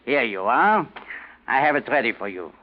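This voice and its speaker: Actor as a nervous German impostor, swinging pitch. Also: male voice, very animated, moderate pace, close to an everyday voice. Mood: falsely cordial. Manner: nervous, formal, agitated. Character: desperate, hunted German impostor, man with murder on his conscience. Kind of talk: 1940s radio drama acting. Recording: dull, noisy old radio recording.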